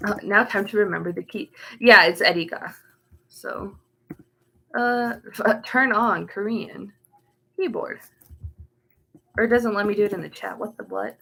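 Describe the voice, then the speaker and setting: A woman's voice: mid-range voice, unhurried, vocal fry, pauses often, pushed and bright, slight background noise. A young woman streaming and casually answering chat, often trailing off while searching for words.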